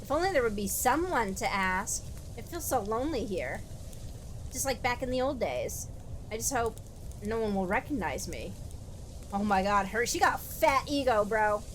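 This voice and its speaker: A woman's voice: on the high side, very animated, normal speed, a bit bright, slight background noise, low-quality recording. A woman playing through a game with casual, wisecracking commentary, reading the text aloud, with music behind her. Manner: sardonic, wisecracking. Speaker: game streamer.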